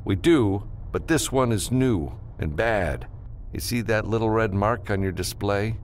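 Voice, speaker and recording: A man's voice: on the low side, animated, a little slow, a little hiss or hum, voice pushed up. A man voicing a seasoned, unflappable male spaceship pilot with a dry, matter-of-fact manner, in a science fiction audiobook. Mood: urgent, dry.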